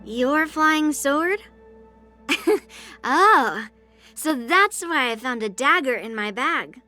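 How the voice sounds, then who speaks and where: High-pitched, cocky teenage swordswoman. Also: animated, slow pace, bright, forceful tone, full character voice. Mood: smug, teasing. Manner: brash, teasing, taunting. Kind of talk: video game voice acting. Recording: music under the voice.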